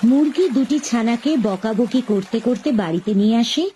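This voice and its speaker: A woman's voice: a little high, expressive, moderate pace, warm tone, slight background noise. A woman reading scripted narration for a cartoon animal story.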